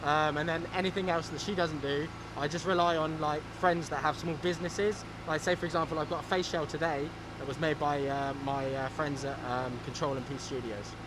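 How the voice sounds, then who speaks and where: An easygoing man cosplayer with a fairly high voice, chatting at a convention. Clear background noise runs under his speech. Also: steady tone, brisk, hardly any pauses. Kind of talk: casual interview at a convention. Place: busy convention hall. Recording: noisy background.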